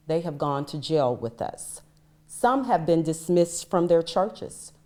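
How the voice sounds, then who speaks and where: Female reader, deep register, public reading of a historic written text. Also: a little mellow.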